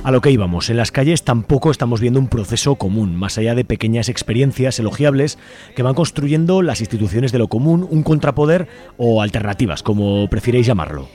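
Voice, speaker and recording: A man's voice: medium pitch, animated, a little fast, warm tone. Man from Spanish radio reading over music. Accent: Spain. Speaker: radio show co-host. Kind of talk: scripted political editorial read in alternation. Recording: music bed behind the voice.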